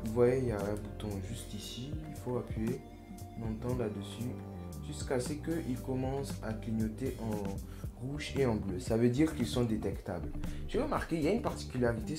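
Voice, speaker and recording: A young man casually unboxing and testing earbuds on his vlog, thinking aloud in a chatty, slangy, self-mocking way. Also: medium pitch. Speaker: YouTuber.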